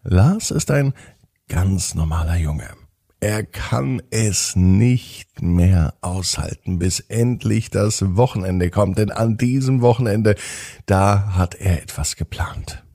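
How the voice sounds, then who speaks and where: A man narrating a bedtime story for children in a gentle, unhurried way with dramatic pauses. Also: deep voice, vocal fry, no room sound, clean studio sound.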